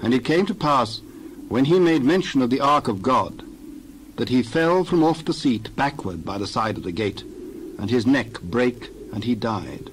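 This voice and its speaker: A man's voice: medium pitch, a little hiss or hum, low-quality recording. Man reading scripture steadily. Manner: measured, steady narration. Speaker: scripture reader.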